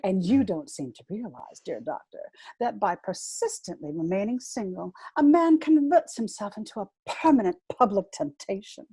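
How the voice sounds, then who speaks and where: A woman playing a prim, coy, middle-aged governess who moralizes pointedly in a dramatic reading of a stage comedy, with a husky voice. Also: mid-range voice, animated, moderate pace, few pauses, warm tone, dry recording, rough recording. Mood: coyly moralizing.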